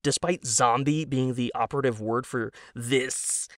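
Audio drama role: exasperated woman at her wits' end, voiced by a male narrator, slow, emphatic, scornful, dry recording. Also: mid-range voice, fairly even intonation, a bit bright, no room sound, natural voice. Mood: incredulous. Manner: incredulous, slow emphatic delivery. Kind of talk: audio drama character dialogue.

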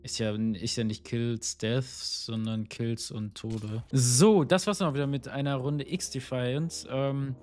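Slightly hoarse young man gaming. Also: mid-range voice, steady tone, takes it slowly, few pauses, a little mellow. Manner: chatty, swears often, rambling with many fillers. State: slightly hoarse from a cold and pollen allergy. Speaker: gaming YouTuber. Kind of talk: casual gameplay commentary. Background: game music and gunfire.

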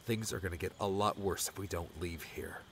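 A man's voice: low voice, fairly quick, a bit bright, a little hiss or hum. A man voicing a plain-spoken, scrappy adventurer in an audiobook, casual and a little wry. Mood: urgent. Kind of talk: audiobook character dialogue.

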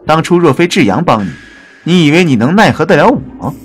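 A man's voice: on the high side, animated, fairly quick, slight background noise, close to an everyday voice. A man playing a proud, sardonic and ruthless god in a dramatic audio drama, scornful and challenging. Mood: defiant, scornful.

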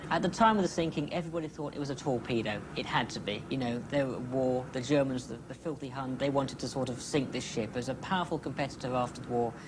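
A man's voice: medium pitch, quick pace, hardly any pauses. Man in interview, noisy background. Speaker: historian interviewee. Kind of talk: conversational interview.

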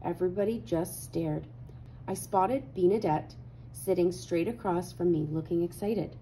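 A woman reading a children's picture book aloud with animated, dramatic emphasis. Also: fairly low pitch, a little slow, slight background noise, low-quality recording. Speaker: read-aloud host.

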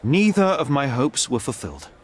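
An actor playing a stern young detective in a video game, cold and righteous, speaking in a clipped, accusatory, sardonic manner. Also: male voice, medium pitch, big pitch swings, moderate pace, husky. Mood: disgusted, cold. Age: young adult. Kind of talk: video game cutscene acting.

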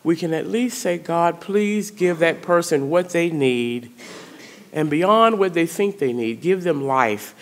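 A woman's voice: on the low side, lively intonation, slow pace. A middle-aged woman priest, preaching a sermon in a church sanctuary, speaking conversationally and putting questions to the congregation. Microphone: stand mic in front of pulpit.

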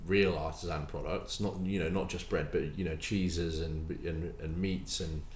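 Deep-voiced man, emphatic and restarting. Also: normal speed. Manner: animated, emphatic, repeats words as he restarts. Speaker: baker and bakery owner. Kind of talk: casual podcast interview. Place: bakery room with hard walls. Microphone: desk mic on stand. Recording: roomy echo, faint room noise.

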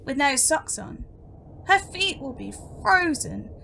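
Creaky, high-pitched little demon minion. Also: female voice, very animated, slow pace, pushed and bright, slight background noise, exaggerated voice. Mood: scolding. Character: servile little demon henchwoman, hesitant minion. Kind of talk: comic audio drama acting.

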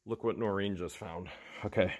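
Middle-aged guy, slightly low voice, eager running commentary, handheld camera in a big indoor warehouse. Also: fairly even intonation, normal speed, darkish tone. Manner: enthusiastic, exclaims often, thinks aloud. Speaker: vlogger picking for resale. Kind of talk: excited running commentary while exploring. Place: large cluttered indoor warehouse.

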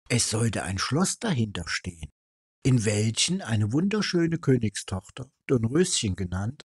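A man's voice: medium pitch, darkish tone, breathy edge, no room sound, clean studio sound. A man reading a fairy tale aloud as a storyteller.

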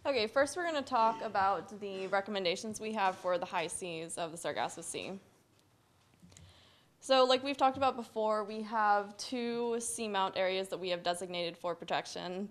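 A young woman presenting recommendations from a podium in a lecture hall, earnest and steady with occasional restarts. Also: medium pitch, moderate pace. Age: young adult. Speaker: student presenter. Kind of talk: academic presentation.

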